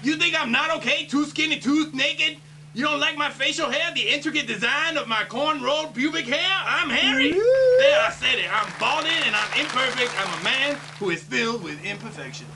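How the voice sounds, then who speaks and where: A man's voice: extremely high pitch, expressive, moderate pace, far from the mic, a crowd in the background, background noise. A man voicing an insecure male puppet fortune-teller, whiny, defensive and slangy, in a comedy sketch. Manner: whiny, defensive, slangy street-hawker patter.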